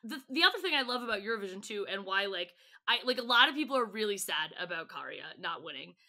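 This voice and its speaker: A woman's voice: mid-range voice, expressive, fast talker, sharp tone. A woman chatting casually and enthusiastically as a podcast guest, in informal, gushing fan talk. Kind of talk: casual chat.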